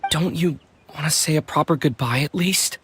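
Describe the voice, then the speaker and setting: A man's voice: a little high, normal speed, fairly bright tone, slight background noise, natural voice. An actor playing an earnest, concerned young male traveler in a video game. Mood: concerned, gentle.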